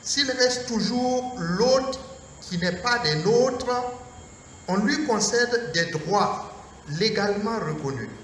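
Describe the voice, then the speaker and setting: Man priest, high voice, slow measured sermon phrasing, heard from afar in a reverberant hall. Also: expressive, fairly bright tone. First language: Mooré. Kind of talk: Lenten lecture sermon. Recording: echoey hall from far off, faint noise.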